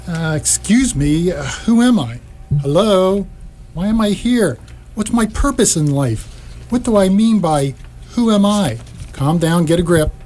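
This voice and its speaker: Newborn whale played by an actor: naive, wondering, self-questioning, medium pitch, stage radio play. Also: darkish tone. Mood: bewildered. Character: bewildered innocent newborn whale, naively excited. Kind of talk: staged radio theatre acting.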